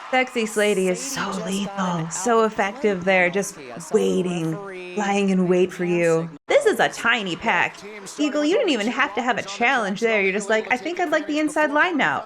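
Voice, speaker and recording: A woman with a fairly high voice gives casual sports commentary with a clearly audible crowd behind her. She is playful and expressive, chatting through the action and speaking for the players as she goes. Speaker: roller derby commentator and former player. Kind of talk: casual game rewatch commentary. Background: roller derby game crowd.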